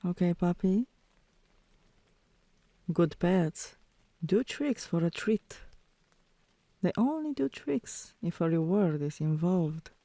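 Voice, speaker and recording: Teasing, dominant tomboy girlfriend, played by a woman, for ASMR roleplay. Soft dark tone, close and dry, commanding but affectionate. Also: fairly low pitch, expressive, dry recording. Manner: commanding yet coaxing, deliberate, drops into whispers.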